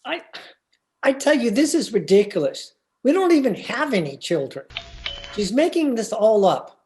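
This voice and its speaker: A man's voice: sits high, big pitch swings, moderate pace, a little mellow, soft background music. A man playing a flustered, mild-mannered banker husband in an old-time radio comedy, hesitant and exasperated.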